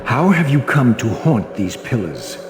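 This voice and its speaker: An actor playing a brooding, bitter vampire wraith in a video game, speaking in a grave, measured, formal way. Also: male voice, medium pitch, sing-song. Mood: guarded, bitter. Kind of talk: dramatic video game narration and dialogue. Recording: hall reverb under clear music.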